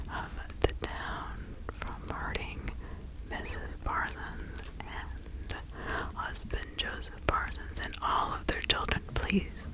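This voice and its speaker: A woman voicing a kindly, fussy old-time witch healer in a soothing, rambling ASMR roleplay. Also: poor audio. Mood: solemn incanting. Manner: soothing, rambling, muttering asides.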